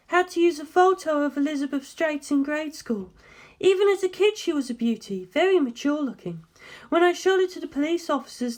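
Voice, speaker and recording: A woman acts out a young woman from an audiobook story, high in pitch and sure of herself. She talks at length, assertive and knowing, with a teasing edge. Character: Darlington, sharp teasing young English woman. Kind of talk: dramatic audiobook character dialogue.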